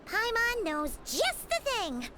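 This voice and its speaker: A woman voicing an excitable little fairy companion with a childlike voice in a video game. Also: very high voice, takes it slowly, very noisy, full character voice. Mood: excited. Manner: third-person self-reference, stammers when flustered.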